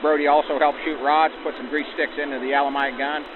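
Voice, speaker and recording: A man's voice: fairly high pitch, little pitch movement, a little fast, phone-quality sound. A man, a crew chief, explaining his work in a plain, practical way in an interview. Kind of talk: unscripted interview answers. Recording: band-limited, noisy outdoor vintage-style sound.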